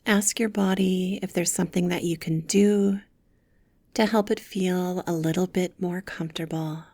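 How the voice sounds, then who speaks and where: A woman leading a guided meditation with soft, gentle, soothing instructions, breathy and often close to a whisper. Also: lowish voice, steady tone, normal speed, no room sound.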